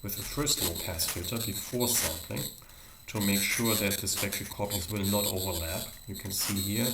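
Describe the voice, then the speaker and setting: A man giving a live technical demonstration as a university lecturer, explaining in a hesitant way with frequent pauses. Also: lowish voice. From Germany. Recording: roomy echo, faint background noise.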